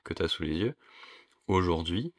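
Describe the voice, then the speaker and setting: A young adult man co-hosting a podcast in casual chat, relaxed and agreeable, with playful asides. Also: deep voice, measured pace, dry recording, studio quality. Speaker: podcast co-host.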